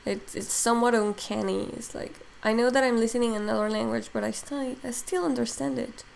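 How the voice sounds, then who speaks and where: Mexican woman demoing software, gravelly voice. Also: a little high, fairly even intonation, a little fast, a little hiss or hum, low-quality recording. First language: Spanish. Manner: thinks aloud while working, many fillers. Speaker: digital sculpting instructor. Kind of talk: casual live-stream software demo.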